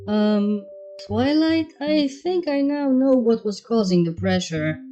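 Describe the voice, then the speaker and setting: A woman's voice: on the high side, expressive, slow pace, warm tone. A woman voicing a guarded, modest unicorn mare in a story reading, with a dry, understated delivery. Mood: uneasy. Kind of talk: fan fiction character reading. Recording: dull, muffled sound under music.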